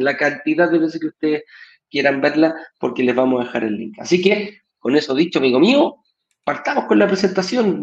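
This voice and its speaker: A man co-hosting a live stream over a video call, explaining things in a measured way. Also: a little high, gravelly. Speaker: co-host, sales director. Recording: echoey, dull video call audio.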